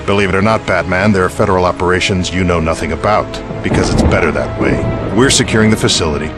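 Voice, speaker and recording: An actor playing an authoritative military captain and federal agent in a video game cutscene, brisk, official and self-assured. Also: male voice, low-pitched, close to an everyday voice. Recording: loud music over the voice.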